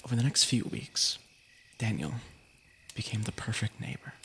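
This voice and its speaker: A man with a gravelly, creaky voice casually reading stories as a livestream host, informal and soft-edged. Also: on the low side, animated, normal speed, a little hiss or hum.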